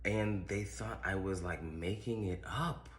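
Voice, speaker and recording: Deep-voiced American man telling a story. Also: unhurried, reverberant. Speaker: creator of a reacted clip. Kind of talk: storytelling anecdote.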